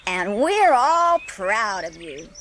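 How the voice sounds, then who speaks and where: A woman's voice: mid-range voice, sing-song, takes it slowly. A woman voicing a forthright older village neighbour in an audiobook story, emphatic and folksy. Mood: proud, emphatic.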